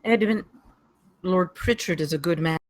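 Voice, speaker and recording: A woman's voice: fairly low pitch, normal speed, lightly acted. A woman playing a loyal, stern household servant, guarded and curt, in a gothic drama table read.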